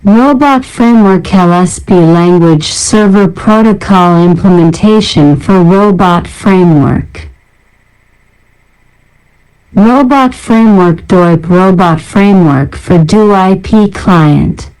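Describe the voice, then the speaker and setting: A woman narrating a scripted technical list in a flat, measured read-out. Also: lowish voice, smooth, rough recording. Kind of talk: scripted narration, reading out a software library list.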